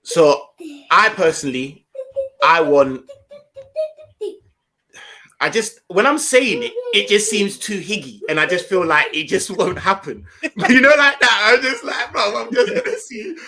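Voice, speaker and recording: A man's voice: sits high, expressive, measured pace, long pauses, bright, forceful tone. A young man, chatting animatedly about football as a podcast co-host, full of slang and repeating himself for emphasis. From Ghanaian heritage. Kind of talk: casual football chat.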